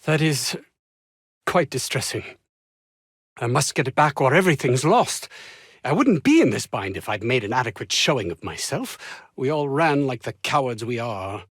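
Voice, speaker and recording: An actor playing a haughty, anxious, downtrodden scientist in a video game, husky and speaking in formal, wordy, theatrical phrases. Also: male voice, mid-range voice, sing-song, normal speed, a bit bright, no room sound, clean studio sound. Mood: distressed, weary. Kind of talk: video game character dialogue.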